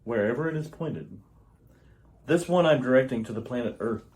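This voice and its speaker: A man's voice: medium pitch, lively intonation, moderate pace, a little mellow, close to an everyday voice. A man playing an earnest, urgent alien scientist, husband and father, with grave, theatrical delivery in old-style radio theater. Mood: tender, earnest. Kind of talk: retro radio theater acting.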